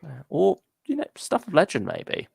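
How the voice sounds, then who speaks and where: A man's voice: on the high side, wildly swooping pitch, normal speed, vocal fry, a little mellow, slightly rough, no echo, studio quality. A man co-hosting a podcast, chatting casually and a little hesitantly about fan topics and listener comments. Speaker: podcast co-host.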